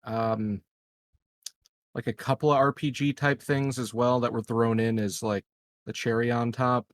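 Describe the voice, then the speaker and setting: A man's voice: medium pitch, level tone, measured pace, dry recording. Hesitant man in dry, close audio. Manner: hesitant, searching for words. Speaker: tabletop game player. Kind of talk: casual improvised game talk.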